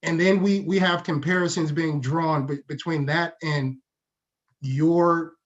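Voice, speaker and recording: Man, higher pitch, stammering, searching for words, dull lo-fi sound. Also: fairly even intonation, a little slow. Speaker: workgroup co-chair. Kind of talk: reflective community talk. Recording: dull lo-fi audio.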